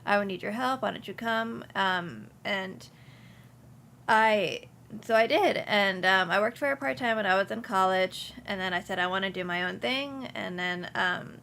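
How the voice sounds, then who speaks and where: A woman's voice: medium pitch, moderate pace, creaky, sharp tone, slight background noise. A young woman from Houston, speaking casually as an interview guest, with many ums and restarts. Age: young adult. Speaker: insurance agency principal, guest.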